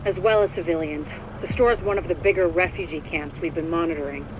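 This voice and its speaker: A brisk female mission handler played by a woman from a video game. She gives directions in a businesslike, no-nonsense manner, with clear noise in the background. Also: fairly low pitch, dull audio, lightly acted. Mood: focused.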